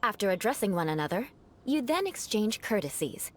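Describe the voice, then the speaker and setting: An actress playing a proud young aristocrat in a video game, haughty and formal, with precise wording. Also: female voice, medium pitch, very animated, brisk, slight background noise, natural voice. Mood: instructive. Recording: game music behind the voice. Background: music.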